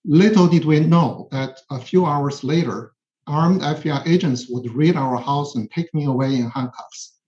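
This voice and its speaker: A man's voice: on the high side, normal speed, mellow, slight background noise. Chinese-accented man testifying, muffled echoey sound. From China. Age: middle-aged or older. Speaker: physics professor, witness. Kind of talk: personal prepared testimony.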